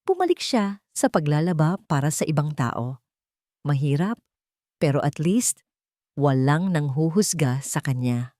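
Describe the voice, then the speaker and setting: A woman's voice: low-pitched, big pitch swings, a little slow, pauses often, mellow, no room sound, clean studio sound. A woman narrator reading a scripted story in a dramatic style.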